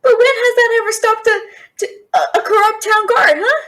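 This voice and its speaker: A very high-pitched young female thief, acted by a woman in a fantasy audio drama. She is cheeky but scared, stammering as she tries to bluff and talk her way out of trouble. Her delivery is nervous and theatrical. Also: normal speed, a bit bright. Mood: defensive, indignant. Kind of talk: audio roleplay acting, fantasy.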